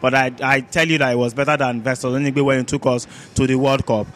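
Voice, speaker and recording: A man's voice: medium pitch, steady tone, quick pace, a bit bright, a little hiss or hum. A man with a Nigerian accent debating sport as a podcast panelist, emphatic and argumentative, repeating words and throwing out rhetorical questions.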